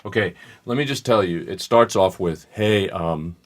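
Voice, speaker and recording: A man co-hosting a true crime podcast, explaining details in a measured, analytical way. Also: deep voice. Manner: measured, explanatory, thinks aloud. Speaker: podcast co-host. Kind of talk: casual analytical discussion.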